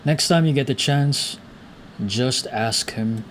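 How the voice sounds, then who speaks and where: A man's voice: medium pitch, slow pace, a bit bright. A man giving informal, slangy playthrough commentary and reading game text aloud. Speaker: gaming content creator. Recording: faint background noise from game.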